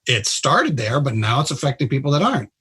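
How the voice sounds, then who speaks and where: A man's voice: mid-range voice, big pitch swings, a little fast, fairly bright tone, husky. A man who is a trauma surgeon, a guest on a radio show, explaining things bluntly and emphatically in casual talk.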